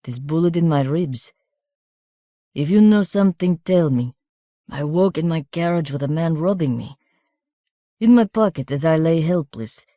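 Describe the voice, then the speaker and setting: A woman reading an audiobook, voicing a wounded, bewildered gentleman who speaks in halting, pained, partly whispered phrases. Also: sits low, expressive, dry recording, clean studio sound. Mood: weary, pleading. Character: wounded bewildered old-fashioned gentleman, delirious with pain.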